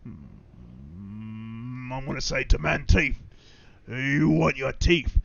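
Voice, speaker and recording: A man role-playing a boastful, brutish male orc warlord on a game stream, with a rough, gravelly throat and rowdy, crude bellowing. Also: medium pitch, animated, extremely slow, a bit bright, highs cut off, slight background noise, exaggerated voice. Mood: scheming.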